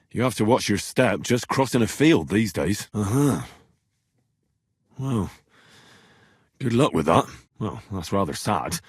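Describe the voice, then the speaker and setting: A young British blacksmith's son in a medieval role-playing game. His voice is husky and very lively in its rise and fall, with an earnest, curious, plain-spoken manner. The sound is dry and close. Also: fairly low pitch, no echo. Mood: wary, questioning. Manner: plain-spoken, inquisitive, informal. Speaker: video game voice actor. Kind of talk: game dialogue lines.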